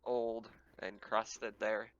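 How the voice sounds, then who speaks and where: A man's voice: lowish voice, flat delivery, very slow, a bit bright, muffled recording. A man running a tabletop game as game master, describing scenes casually and a little hesitantly as he pieces them together.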